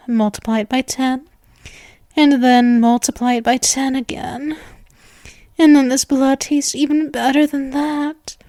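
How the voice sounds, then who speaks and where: A woman's voice: high-pitched, darkish tone, no room sound, clean studio sound. A woman voicing an obsessive, doting, gleefully morbid young vampire in an intimate, theatrical ASMR roleplay monologue. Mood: delighted, gushing. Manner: gushing, theatrical, breathy asides, lovestruck.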